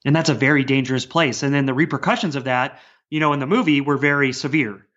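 A man's voice: medium pitch, steady tone, fast, fairly bright tone. A man speaking earnestly and discursively as a guest author in a casual podcast conversation. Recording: dull, lo-fi sound.

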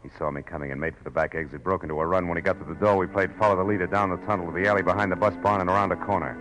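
A man's voice: low-pitched, fairly even intonation, rapid-fire, fairly bright tone, rough recording. An actor playing a wry, world-weary private detective, terse and sardonic, telling his case in a 1940s radio drama. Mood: wry narration. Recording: vintage radio drama with music.

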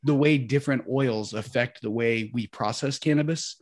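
Raspy, gravelly-voiced man, casual podcast talk. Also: medium pitch, moderate pace, no echo, studio quality. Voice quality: raspy, vocal fry. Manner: swears a lot, clears throat and coughs. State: high on cannabis. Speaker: podcast co-host. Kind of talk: casual chat.

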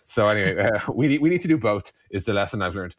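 A man's voice: on the low side, lively intonation, fast, no echo. An Irish man telling startup stories casually as a co-founder in an interview, digressing and peppering his talk with "like" and "you know". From Ireland. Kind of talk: casual conversational storytelling.

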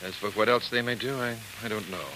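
A man acting a protective older senator, a stern father with measured, firm speech, in a 1940s radio drama. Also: fairly low pitch, steady tone, natural voice. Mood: grave. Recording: hissy, noisy 1940s radio recording.

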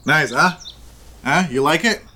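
An actor playing a proud, teasing middle-aged farm owner in an audio drama. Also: male voice, fairly high pitch, a little slow, pushed and bright, a little hiss or hum. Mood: proud, excited. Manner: teasing, expansive, fond. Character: Salvador, proud, playful older farm owner and father.

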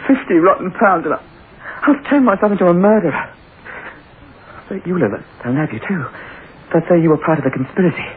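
An actor playing a desperate, guilt-ridden young thief in an anguished, brooding old-time radio style. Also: male voice, high-pitched, a little fast, pauses often, a little hiss or hum, lightly acted. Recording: narrow-band old radio recording, loud music.

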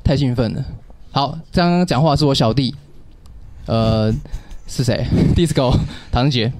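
A man's voice: medium pitch, a little slow, darkish tone, dry recording. A man hosting a radio show in a casual, joking chat with a co-host, with a playful mock-grand manner.

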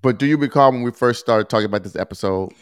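A man's voice: medium pitch, quick pace, clear, resonant tone, no room sound, clean studio sound. A man co-hosting a casual podcast, walking through a court ruling in a blunt, plain-spoken way and quizzing his co-host about it. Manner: explains a court ruling at length, reads passages aloud, quizzes his co-host. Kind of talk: casual legal discussion.

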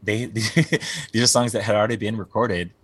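A man's voice: on the low side, moderate pace, a bit bright, slightly rough, dry recording. A man in his forties, a band singer, talking casually in an interview. He rambles and often restarts his sentences, with plenty of fillers. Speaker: guest musician, band singer.

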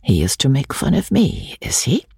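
A man's voice: on the high side, huge pitch swings, moderate pace, gravelly, husky, dry recording, clean studio sound, pitched above the performer's own voice, full character voice. A man voicing a proud, wary young woman who speaks haughtily and with put-on innocence. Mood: wounded.

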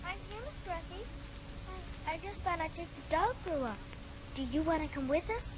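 A woman playing an excitable, affectionate little girl in a 1950s radio drama. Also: extremely high pitch, brisk. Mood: wistful. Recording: dull old 1950s radio recording.

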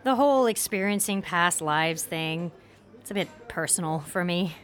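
A woman's voice: fairly low pitch, lively intonation, normal speed, soft background music, close to an everyday voice. An actress playing a sheltered, anxious young female college student who speaks candidly in a naturalistic audio drama. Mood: friendly, embarrassed. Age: young adult.

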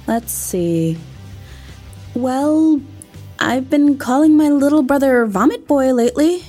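A blunt, reluctant schoolgirl in an audio comedy. Her voice is fairly high and soft-edged, and she speaks slowly with offhand, deadpan delivery. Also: soft background music. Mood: grudging, offhand.

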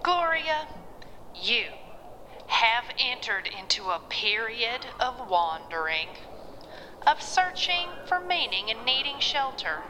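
Over clear background noise comes a genteel radio being. Her voice sits a little high and swoops through florid, cryptic phrases with formal courtesy, as in an audio drama. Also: very animated. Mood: courtly, soothing. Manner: formal, florid, unhurried address. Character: genteel, cryptic wife speaking from a sentient radio.

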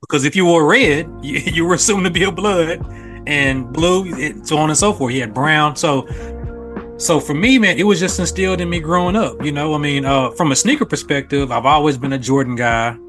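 A man's voice: on the high side, moderate pace, a bit bright, faint music behind. An American man from Arkansas talking casually and reflectively as a podcast guest. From Little Rock, Arkansas, US. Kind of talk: casual conversational reminiscence.

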